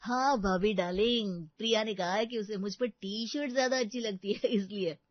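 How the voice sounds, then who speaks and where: A woman's voice: mid-range voice, moderate pace, no echo, lo-fi sound, lightly acted. Female narrator as young male student in love: earnest, boyish, eager, audio-story delivery. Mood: happy. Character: eager, lovestruck young college man.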